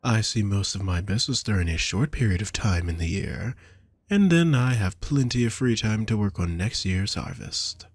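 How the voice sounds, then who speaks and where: A man playing a doting, courtly vampire shopkeeper in an intimate audio roleplay, with a husky voice and gentle, flowery, formal speech addressed to the listener. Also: lowish voice, expressive, normal speed, no echo. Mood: wry, chatty.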